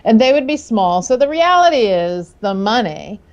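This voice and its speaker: A woman's voice: a little high, very animated, moderate pace, lo-fi sound. A woman panelist talking casually and with strong opinions in a group discussion, outspoken and wry.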